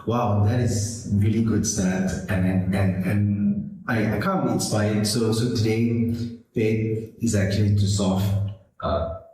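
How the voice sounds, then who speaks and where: Mellow-voiced host, echoey room. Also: male voice, fairly low pitch, fairly even intonation, slow pace. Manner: hesitant, repeats words, frequent "yeah" agreement. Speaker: podcast host. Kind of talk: conversational interview. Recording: echoey room, faint noise.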